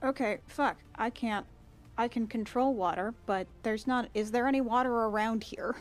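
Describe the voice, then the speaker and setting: A woman's voice: on the high side, normal speed, soft background music. A woman playing a tabletop role-playing game, chatting casually with an irreverent, sweary manner.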